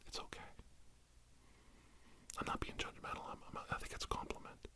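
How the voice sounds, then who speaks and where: A man doing rambling ASMR storytelling as a self-styled healing doctor, in a meandering, hesitant, deadpan earnest manner. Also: fairly quick.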